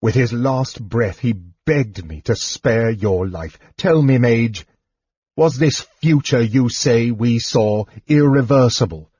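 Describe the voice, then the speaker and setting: A man's voice: medium pitch, slow, a little mellow, husky, dry recording. A man playing an anguished, earnest half-elf adventurer in a fantasy audiobook, questioning in a troubled way. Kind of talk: audiobook fantasy character dialogue. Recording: slightly muffled, dull sound.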